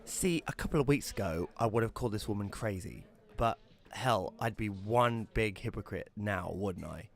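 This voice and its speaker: British guy acting narrating audio drama as a grumbly columnist, lowish voice, wry n chatty. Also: normal speed, hardly any pauses, natural voice. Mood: wry narration. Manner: wry, conversational. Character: wry, grumbling young male advice columnist. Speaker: narrator and lead character.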